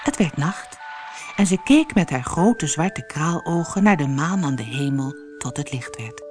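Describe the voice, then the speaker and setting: A woman reading a children's fairy tale as scripted narration, with expressive, clearly phrased storytelling. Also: low voice, unhurried, soft background music.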